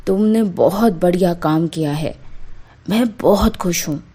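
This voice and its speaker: A woman voicing an authoritative, deliberate village landlord, a grown man, in a storybook tale. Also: lowish voice, warm tone, slightly rough. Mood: pleased, grateful. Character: village landlord, commanding but grateful adult man.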